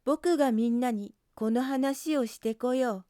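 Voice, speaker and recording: A woman as an eager, kind young boy rabbit, high-voiced. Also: unhurried, no room sound, clean studio sound, lightly acted. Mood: earnest, encouraging. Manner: bright, coaxing, emphatic. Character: kind, earnest young male rabbit encouraging his friend.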